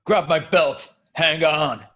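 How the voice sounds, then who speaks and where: A man's voice: on the high side, takes it slowly, fairly bright tone, muffled recording. Man playing Batman, a terse brooding vigilante. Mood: urgent. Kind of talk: script table read.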